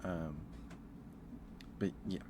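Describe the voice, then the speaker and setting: A man's voice: drawn-out words, noisy background. A man hosting a casual ASMR livestream, chatting with viewers in a hesitant, rambling way that often restarts mid-thought. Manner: chatting back to live viewers. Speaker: livestream host.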